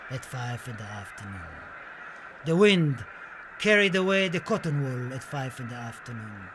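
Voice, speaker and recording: A man's voice: medium pitch, background noise, natural voice. A man playing an impassioned, grieving Spanish poet in a radio play, reciting in a solemn, dramatic manner. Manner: solemn, dramatic, swelling from hushed to declaimed. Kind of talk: theatrical radio play monologue and poetry recital.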